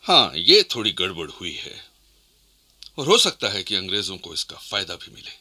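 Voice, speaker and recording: An actor playing a commanding, deliberate rebel leader and strategist in a historical radio play. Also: male voice, sits low, very animated, bright, forceful tone, exaggerated voice. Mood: measured, commanding. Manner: deliberate, authoritative.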